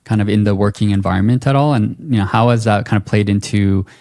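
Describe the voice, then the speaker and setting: A man's voice: on the low side, fairly even intonation, fast, darkish tone. A man hosting a podcast, reading an upbeat intro and asking interview questions. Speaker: podcast host.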